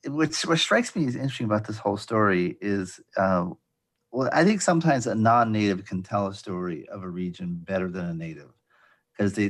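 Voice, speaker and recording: Low-voiced, halting male interview host. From Providence. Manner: halting, with many ums and restarts. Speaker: podcast host. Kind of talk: interview hosting.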